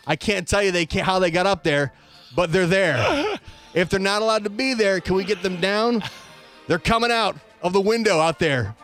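A man with a notably high voice hosts a talk show. He reads quoted reports and times aloud with emphatic, announcer-style delivery. Faint music plays behind him. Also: animated, moderate pace, a bit bright. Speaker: radio show host. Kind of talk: reading police dispatch quotes aloud on a comedy-tinged talk show.

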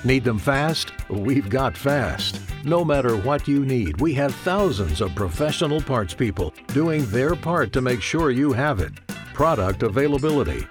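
Animated male ad announcer over music. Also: medium pitch, a little slow. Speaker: commercial announcer. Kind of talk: scripted radio ad narration. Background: guitar music.

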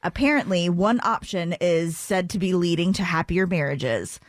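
A woman's voice: fairly low pitch, normal speed, dry recording, studio quality. A young woman co-hosting morning radio, reading entertainment and lifestyle news in an upbeat, chatty way. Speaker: pop culture co-host.

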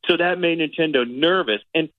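A man's voice: a little high, a little fast, no room sound, narrow, tinny audio. A middle-aged man chatting casually and in a rambling way as a film critic on a radio show, often repeating himself for emphasis. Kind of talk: casual movie review chat.

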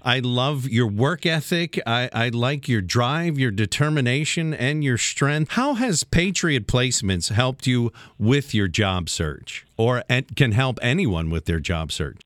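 A man's voice: medium pitch, animated, measured pace, hardly any pauses, fairly bright tone, dry recording. Male radio host for an interview show, mid-range voice, close dry sound.

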